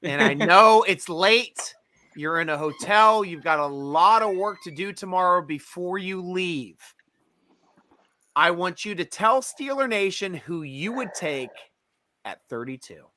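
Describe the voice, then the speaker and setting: A man's voice: high-pitched, expressive, measured pace, bright, forceful tone, dry recording. A man in his forties hosting a casual sports panel chat as the show's host. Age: 40s. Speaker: host of a sports draft show.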